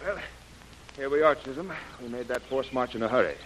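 An actor playing a commanding male expedition leader who gives crisp orders in old radio acting. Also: mid-range voice, a little fast, fairly bright tone, slight background noise, close to an everyday voice, rough recording.

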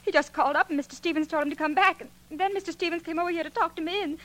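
A defiant, high-strung young woman in a 1940s radio mystery. Her voice is high and piercingly bright. She speaks in clipped, emotional phrases with a bitter edge. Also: rapid-fire. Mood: panicked. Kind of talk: 1940s radio drama acting.

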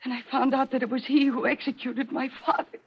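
A bewildered, haunted daughter in a vintage radio mystery. Her voice is high and earnest, with a dazed, dramatic manner. The recording is dull and old. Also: flat delivery, fairly quick, breathy edge. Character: troubled, bewildered daughter of a hanged killer. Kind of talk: 1940s radio mystery acting.

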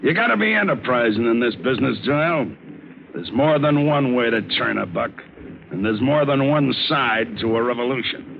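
An actor playing a tough, cynical smuggler captain with an American accent, blunt and domineering, in an old radio drama. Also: male voice, medium pitch, expressive, moderate pace, gravelly. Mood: wry, domineering. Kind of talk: 1950s radio drama acting. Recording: noisy, narrow-band old radio broadcast.

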